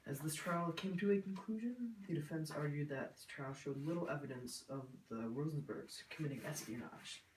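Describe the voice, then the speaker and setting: A man reports news in a halting, wordy manner. His voice is a bit high for a man. He sounds off the mic in a strongly echoing space with faint noise. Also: recorded from a distance. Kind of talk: semi-scripted news report.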